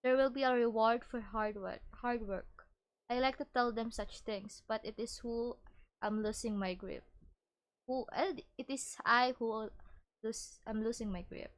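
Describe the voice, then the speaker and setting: A woman's voice: fairly high pitch, measured pace. A woman streaming a game, commenting casually and reading on-screen text aloud expressively, stumbling over some words.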